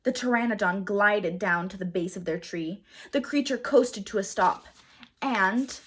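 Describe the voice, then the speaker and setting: A woman teacher reading a children's storybook aloud in a dramatic, deliberate way, pausing for emphasis. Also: medium pitch, a little hiss or hum.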